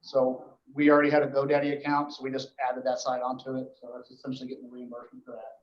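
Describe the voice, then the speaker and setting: A man gives an informal report to a board at a meeting, explaining things in a halting, matter-of-fact way. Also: medium pitch, completely flat delivery. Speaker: emergency dispatch official reporting to a board. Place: indoor meeting room. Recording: echoey meeting room, dull muffled audio.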